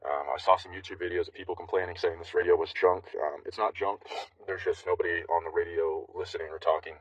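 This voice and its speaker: Fast-talking low-voiced man, casual review. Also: fairly even intonation, no echo. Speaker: CB radio owner giving a product review. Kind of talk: casual unscripted review chat. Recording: slightly distant mic, dull lo-fi sound.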